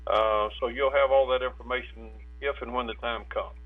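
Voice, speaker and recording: Man on a tinny phone line, halting. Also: mid-range voice, steady tone. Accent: American. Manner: halting, repeats words and stumbles mid-phrase. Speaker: state insurance director, interviewed guest. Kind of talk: phone interview giving preparedness advice. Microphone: telephone.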